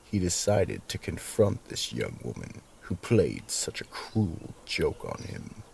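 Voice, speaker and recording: An actor playing a grave, gravelly male narrator of a supernatural mystery radio play. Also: rumbling low, wildly swooping pitch, slow, a little mellow, slight background noise, heavily acted. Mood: grave, suspenseful.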